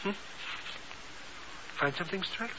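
An actor playing an excitable, greedy, dim sidekick who chatters and stammers eagerly in a 1950s radio drama. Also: male voice, high voice, a little slow. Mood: eager, curious. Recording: muffled, noisy early-1950s radio recording.

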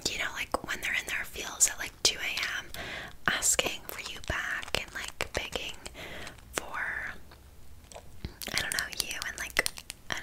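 A scatterbrained witch, played by a woman, speaks entirely in a soft, breathy whisper for an ASMR roleplay. She rambles casually with deadpan asides and filler words. Her manner is blunt and a little judgmental, like an amateur who makes do as she goes. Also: close to an everyday voice, poor audio. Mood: blunt, teasing. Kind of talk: whispered ASMR roleplay, improvised one-sided chat.

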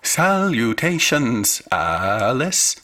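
Bright-voiced, formal, troubled professor. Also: male voice, mid-range voice, very animated, exaggerated voice. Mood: stilted, alien. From United States. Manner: formal, ornate, deliberate wording. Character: haunted, formal American political economy professor, troubled and grave. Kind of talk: radio drama acting.

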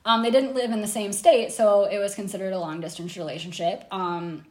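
Woman, podcast host, rambling storyteller, laughs easily, middle pitch. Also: steady tone, fairly quick, a little mellow, reverberant. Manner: rambling, many fillers and restarts, laughs easily.

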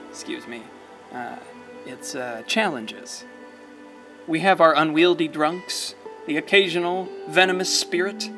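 A folksy storyteller, music behind. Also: male voice, high-pitched, pushed and bright, exaggerated voice. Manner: chuckling, hesitant, theatrical. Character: folksy, wry small-town storyteller, fond and rambling. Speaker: narrator. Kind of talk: conversational scripted radio play narration. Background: prominent music.

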